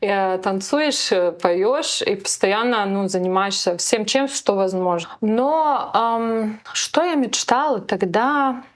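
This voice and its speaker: A woman's voice: mid-range voice, slow pace, few pauses. A young woman with a Latvian accent, speaking as an interview guest; she hesitates with many fillers and slips English words into her speech. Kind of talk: casual interview chat.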